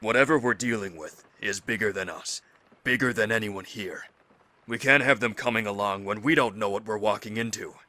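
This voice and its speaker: A man's voice: fairly low pitch, moderate pace, bright, forceful tone. A man acting a stern, pragmatic survivor leader in an audio drama, speaking tersely and decisively. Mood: firm, grave.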